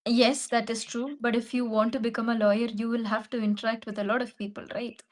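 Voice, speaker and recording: A young woman from Kerala with an Indian English accent, an English tutor speaking in a measured, reflective way in a practice conversation. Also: fairly high pitch, little pitch movement.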